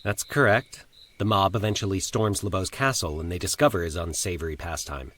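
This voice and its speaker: A man's voice: low voice, fairly quick, a bit bright. A man voicing a fussy, uneasy horror fan who recounts a tale in a formal, defensive, precise way. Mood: careful recounting. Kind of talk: horror audio story dialogue.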